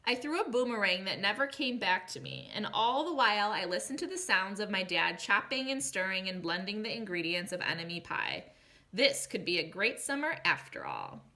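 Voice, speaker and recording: A woman's voice: medium pitch, very animated, moderate pace, sharp tone. A woman teacher reading a children's picture book aloud expressively, speaking directly to young listeners and posing questions to them.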